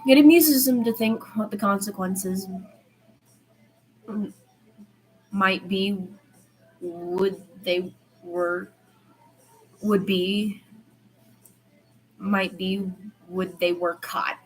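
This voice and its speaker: Woman reading fiction out loud, kinda informal, trips on words, noisy home-style recording. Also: mid-range voice, extremely slow, long pauses. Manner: informal, stumbles over words and corrects herself. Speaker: amateur fan fiction podfic reader. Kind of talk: reading a story aloud.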